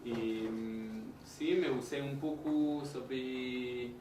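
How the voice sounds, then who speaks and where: A man who is a beginner language student, hesitantly searching for words in very halting second-language speech. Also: mid-range voice, steady tone. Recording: echoey room, far from mic, faint noise.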